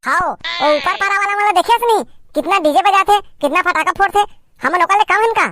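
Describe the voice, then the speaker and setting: A woman as a very high-pitched young village man. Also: brisk, sharp tone. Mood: eager, argumentative. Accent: Chhattisgarhi. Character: excitable young village man planning a party. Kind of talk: amateur cartoon comedy dialogue.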